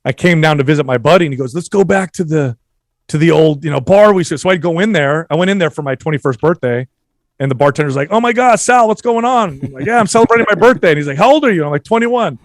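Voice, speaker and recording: A man in his forties from San Jose, California, a fitness trainer guesting on a podcast, telling easygoing stories and chiming in with quick agreement. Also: on the high side, animated, dry recording. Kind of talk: casual chat.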